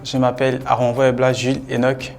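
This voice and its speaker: A man's voice: medium pitch, monotone, a little fast, creaky, darkish tone, faint music behind. A man in his twenties, a fashion contestant, speaking in a matter-of-fact way. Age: 20s. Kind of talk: casual interview.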